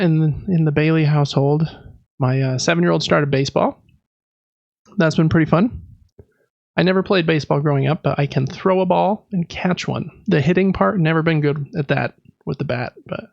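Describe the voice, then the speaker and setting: A man's voice: fairly high pitch, creaky, a little mellow, no room sound, lo-fi sound. An American man in his thirties chatting casually as a podcast co-host, rambling along with self-deprecating humor. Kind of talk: casual chat.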